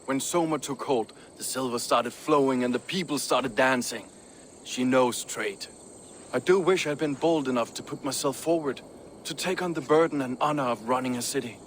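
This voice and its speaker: An actor playing a proud, ambitious but loyal Viking shipbuilder, speaking in a measured, earnest way for a video game. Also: male voice, medium pitch, slight background noise, close to an everyday voice. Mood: frank, proud. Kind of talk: dramatic video game cutscene dialogue.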